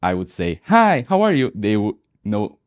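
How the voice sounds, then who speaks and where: A soft-spoken, shy man from Brazil who speaks English with a Brazilian Portuguese accent, talking in a measured way as a podcast co-host. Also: on the low side, huge pitch swings. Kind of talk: casual conversation.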